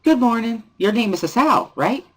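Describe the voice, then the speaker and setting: A man voicing a cheerful, energetic teenage girl runner with a high-pitched, enthusiastic voice, in a dramatic reading of a visual novel. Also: normal speed, heavily acted. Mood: cheerful, bright. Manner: perky, enthusiastic.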